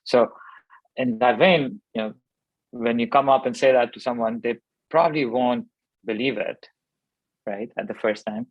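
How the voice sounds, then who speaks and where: A man's voice: medium pitch, normal speed, long pauses, dry recording, dull audio. A man in his thirties from Pakistan, a startup founder telling his story in an interview, thinking aloud with many fillers and restarts. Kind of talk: conversational interview answers.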